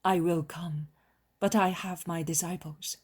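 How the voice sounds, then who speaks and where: A woman reading the lines of a calm, commanding male holy healer in a solemn storybook voice. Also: lowish voice, measured pace, breathy edge.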